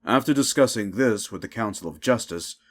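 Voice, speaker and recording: A man playing a dignified superhero guild leader in an audio drama interview, speaking in a grave, measured, official way. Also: on the low side, expressive. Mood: grave, formal.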